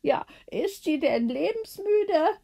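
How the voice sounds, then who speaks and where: A curious woman from a storybook as a female reader puts her on. Her voice sits high, full of wonder and questions, as if she is easily astonished. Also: animated, slow, vocal fry, mellow, heavily acted. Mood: shocked.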